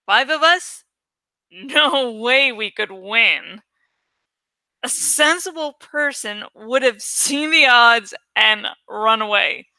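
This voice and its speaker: Bright, clear-voiced woman reading stories aloud. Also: a little high, lively intonation, takes it slowly, dry recording, low-quality recording. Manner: informal, self-correcting. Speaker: amateur audiobook reader and channel host. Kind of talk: expressive story narration with casual asides.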